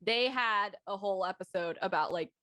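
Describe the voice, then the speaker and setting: A woman's voice: medium pitch, moderate pace, pushed and bright, no echo. Woman chatting casually, very dry sound. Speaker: voice actor in a cast discussion. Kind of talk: casual chat.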